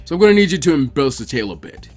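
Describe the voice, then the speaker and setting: A man's voice: fairly high pitch, sing-song, rapid-fire, faint music behind. A man voicing a macho, scheming adult ninja with a casual, wheedling manner.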